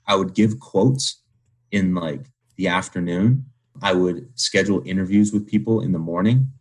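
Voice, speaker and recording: Husky, low-voiced young man. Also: moderate pace. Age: 20s. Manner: informal slangy speech peppered with "like". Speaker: podcast guest, young entrepreneur. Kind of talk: casual, candid interview talk.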